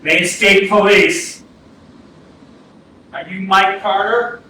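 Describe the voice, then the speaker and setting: A man's voice: high voice, steady tone, slow, bright, forceful tone, heavily acted. Male actor as police detective, conversational questioning, stage drama, big room echo, noisy. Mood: official, chatty. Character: chatty, steady state police detective questioning someone. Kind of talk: staged play reading. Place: arts centre theatre. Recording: echoey theatre, distant mic, room noise.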